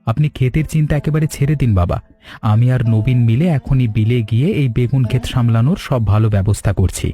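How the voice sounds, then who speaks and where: Man playing a steady, mellow young village husband. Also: medium pitch, quick pace, soft background music, close to an everyday voice. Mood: decisive. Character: calm, responsible young village husband and son-in-law. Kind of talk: Bengali cartoon story dialogue.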